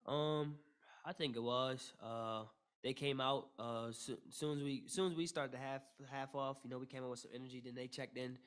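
A young man, a basketball player, answering questions at a postgame press conference into a table mic, with a steady delivery full of "you know" fillers and restarts. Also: medium pitch, normal speed, smooth. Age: young adult. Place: press room with logo backdrop. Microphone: table mic in front.

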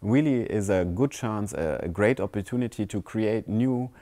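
Man, 40s, low warm voice, steady explainer in a lecture hall. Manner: measured, with filler pauses. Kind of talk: on-camera explanation.

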